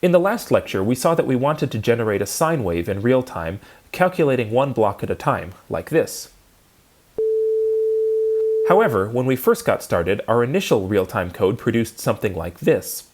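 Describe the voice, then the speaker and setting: Man lecturing with lively pitch movement. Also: normal speed, background noise. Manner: clear, methodical explaining. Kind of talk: prepared technical lecture.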